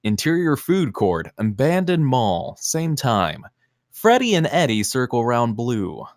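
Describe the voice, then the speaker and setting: Animated man reading screen directions. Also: medium pitch, measured pace, dry recording, clean studio sound. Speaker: stage-direction narrator. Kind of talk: scripted screenplay narration.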